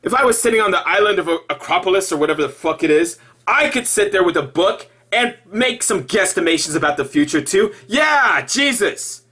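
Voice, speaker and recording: A man delivering an improvised sarcastic rant in an exasperated, mocking way, halting and repeating himself, with profanity. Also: high voice, animated, pushed and bright, breathy edge. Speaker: video commentator.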